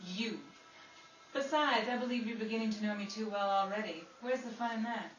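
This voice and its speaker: A woman's voice: medium pitch, fairly quick, lightly acted. Woman acting cool wisecracking flirt, roomy distant recording. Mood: coolly teasing. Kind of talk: old-time radio drama acting. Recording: roomy, distant mic, noisy background.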